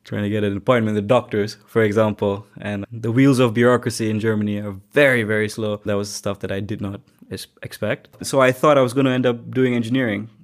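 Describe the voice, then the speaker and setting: A man's voice: lowish voice, steady tone, brisk, few pauses. A young man from Malaysia, an international student, reflecting aloud in a casual interview chat with frequent restarts.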